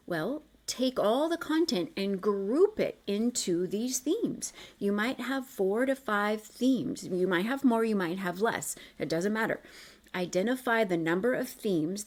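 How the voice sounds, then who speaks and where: A woman coaching listeners step by step through an exercise, encouraging and reassuring, repeating key points for emphasis. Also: mid-range voice. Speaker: coach guiding a self-reflection exercise. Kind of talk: instructional coaching talk, step by step.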